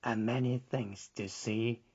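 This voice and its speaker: A man's voice: medium pitch, fairly even intonation, a little mellow, slightly rough, highs cut off. A man voicing an aged, brooding woman in a story reading, with a droning, foreboding delivery. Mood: foreboding, mournful. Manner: very slow, droning.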